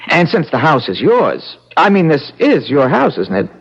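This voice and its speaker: An actor in an old-time radio drama playing a pushy, folksy young country-born bridegroom who talks in a colloquial, cajoling way. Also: male voice, fairly high pitch, huge pitch swings, normal speed, gravelly, husky, full character voice. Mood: coaxing. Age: young adult. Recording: dull, noisy old radio broadcast.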